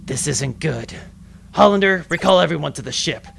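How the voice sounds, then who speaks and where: Man playing a bright, gravelly, bossy space officer. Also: fairly high pitch, animated, normal speed, a little hiss or hum, exaggerated voice. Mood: urgent, commanding. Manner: commanding, self-important. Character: earnest, brisk spaceship second-in-command giving orders. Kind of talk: science fiction comedy audio drama.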